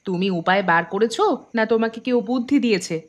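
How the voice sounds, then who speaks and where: A woman's voice: fairly high pitch, fairly quick, dull audio, lightly acted. A woman playing a practical, loyal village wife in a cartoon story, firm and questioning, over plucked-string music. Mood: skeptical. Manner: skeptical, firm.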